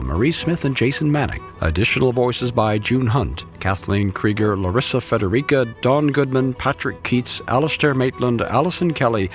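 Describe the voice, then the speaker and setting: Animated male announcer over music. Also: mid-range voice. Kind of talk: scripted credits narration.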